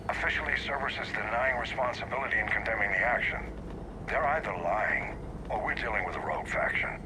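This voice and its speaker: Stern military commander , male actor, low and authoritative, sci-fi game briefing over heavy aircraft noise. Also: close to an everyday voice. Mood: grave, businesslike. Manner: measured, formal, authoritative. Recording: loud engine and radio noise behind.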